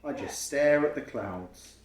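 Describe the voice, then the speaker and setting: A man voicing an earnest, unsure, daydreaming schoolboy from a picture book, with expressive delivery. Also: medium pitch, a little slow, slight background noise, natural voice. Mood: dreamy, unsure. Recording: roomy, echoing home recording.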